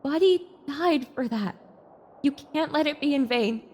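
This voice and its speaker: A woman's voice: high-pitched, a little slow, a little mellow, slight background noise. A woman voicing a troubled young filly survivor, blunt and emotional, in an audio drama. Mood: somber resolve. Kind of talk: audio drama character acting.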